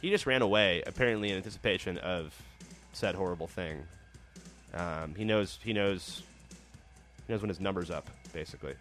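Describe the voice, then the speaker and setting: Phlegmy guy hosting a podcast, kinda low voice, faint music under it. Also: brisk, a bit bright. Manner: rambling, hesitant restarts. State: phlegmy, getting over a cold. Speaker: podcast host. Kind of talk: casual chat.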